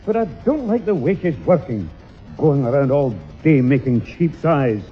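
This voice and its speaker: A man's voice: a little high, animated, unhurried, soft background music. Grumpy, blustering Northern English grandfather. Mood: exasperated. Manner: blustering, irritable, exclamatory. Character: grumpy, exasperated old grandfather. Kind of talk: 1960s British radio sitcom acting. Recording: dull 1960s radio recording.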